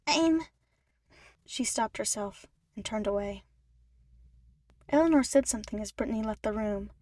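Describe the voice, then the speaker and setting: A woman's voice: medium pitch, a little mellow, no echo, studio quality. A woman reading scripted story narration for a fan fiction audiobook. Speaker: podfic narrator.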